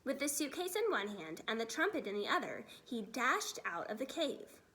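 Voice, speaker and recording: High-pitched, animated woman reading a storybook. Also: moderate pace. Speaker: storybook reader, teacher. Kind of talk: expressive read-aloud narration of a children's story.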